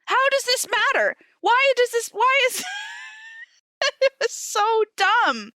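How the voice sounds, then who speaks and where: A woman in casual conversation as a podcast co-host, giggly and animated in the way she talks. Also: extremely high pitch, slow pace, no echo, studio quality. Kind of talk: casual chat.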